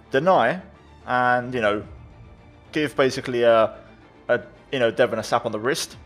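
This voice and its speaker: A man hosting a gaming video, giving casual, chatty commentary and thinking aloud as he plays. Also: medium pitch.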